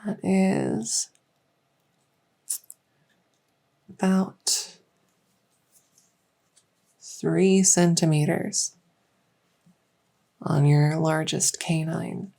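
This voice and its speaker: A woman playing a small, encouraging insect-folk clinician in an ASMR medical roleplay, soft-spoken, hesitant and matter-of-fact. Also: low-pitched, steady tone, drawn-out words, vocal fry, long pauses, exaggerated voice. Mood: focused, encouraging.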